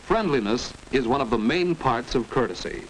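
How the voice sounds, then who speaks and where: A man's voice: mid-range voice, animated, moderate pace. A man with an American accent narrating a 1940s instructional film in a precise, didactic manner. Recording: noisy 1940s educational film soundtrack.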